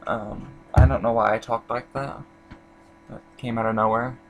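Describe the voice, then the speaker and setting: A man's voice: mid-range voice, fairly even intonation, normal speed, a little hiss or hum, poor audio. A young man from Indiana casually reviewing an album, rambling with lots of fillers and restarts.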